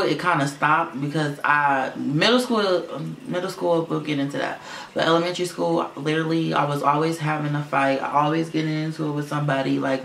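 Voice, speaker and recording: A man's voice: creaky, a bit bright. A young man rambling casually through a storytime vlog, with lots of fillers and swearing; he says his voice sounds like a girl's. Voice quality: voice he calls low for a boy, sounding like a girl's. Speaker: vlogger. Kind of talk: casual storytime monologue to camera. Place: room at home. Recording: roomy echo with faint filter hum. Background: fish tank filter hum.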